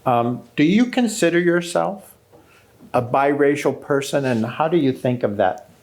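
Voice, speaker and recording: Curious gravelly male interviewer. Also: medium pitch, animated, measured pace, darkish tone. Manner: curious, hesitant. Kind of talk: interview questions.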